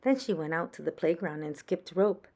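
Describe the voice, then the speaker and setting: A woman's voice: lowish voice, moderate pace, mellow. A woman reading a children's story aloud as a storyteller for young listeners.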